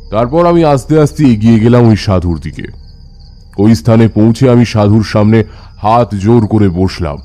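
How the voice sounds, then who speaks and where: A man playing a seasoned tantric who tells his tales in a measured, reverent manner for an audio horror story. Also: lowish voice, warm tone. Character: Taranath, seasoned male tantric recounting his past.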